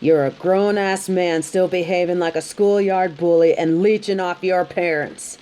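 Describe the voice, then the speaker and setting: A woman's voice: lowish voice, steady tone, measured pace, dark tone, natural voice. A woman playing a tough, sassy bounty hunter in an audio roleplay, speaking straight to the listener with dry, taunting, scolding bluntness. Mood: stern, scolding. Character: tough, sardonic female bounty hunter, grudgingly hospitable, scolding. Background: rain.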